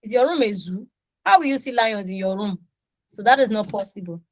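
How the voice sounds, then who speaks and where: Lively Nigerian woman teaching English. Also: medium pitch, fairly quick, no room sound, rough recording. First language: Yoruba. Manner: animated, acts out little dialogues with students. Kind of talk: online class lecture.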